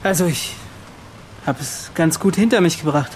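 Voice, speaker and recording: An actor playing a dejected, shaken young seaman in a radio play, speaking in a halting, subdued way. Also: on the high side, a little hiss or hum, lightly acted. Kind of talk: German radio play acting.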